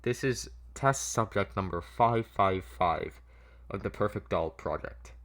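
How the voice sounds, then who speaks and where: A man playing a manipulative mad doctor with a coaxing, drawn-out, falsely soothing manner in an audio story. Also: on the low side, fairly bright tone. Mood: clinical.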